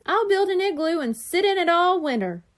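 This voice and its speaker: A woman's voice: extremely high pitch, animated, normal speed, pitched above the performer's own voice. A woman voicing a determined, earnest young boy in a children's storybook. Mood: stubborn.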